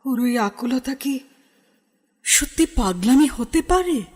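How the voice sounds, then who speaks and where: An actress playing a grieving widow and schoolteacher torn between longing and suspicion in a radio drama. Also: female voice, high-pitched, expressive, slow, breathy edge, exaggerated voice. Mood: anguished. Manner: anguished, then sharp and indignant.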